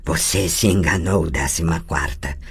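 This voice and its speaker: A man's voice: extremely low pitch, measured pace, slightly rough, heavily acted. A man reading an audiobook and voicing a cold, metallic, inhuman presence that speaks with cruel scorn. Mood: menacing. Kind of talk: audiobook character voice.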